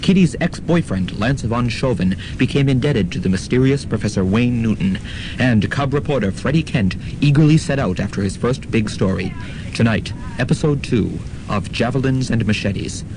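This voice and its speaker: Man narrating over clearly audible noise. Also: lively intonation, moderate pace. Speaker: radio drama announcer. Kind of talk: scripted recap narration. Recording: noisy rumbling amateur student radio recording.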